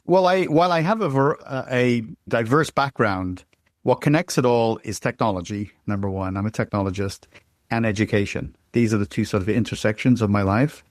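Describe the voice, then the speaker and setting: A man's voice: fairly low pitch, brisk, no echo, clean studio sound. A middle-aged man from Ireland with an Irish accent, giving a rambling interview answer with frequent restarts and fillers. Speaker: guest.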